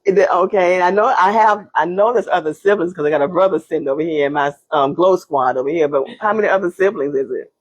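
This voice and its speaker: A woman hosting a casual interview, reacting with lively exclamations and laughs. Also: lowish voice, fast, slightly rough, highs cut off. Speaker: interview host.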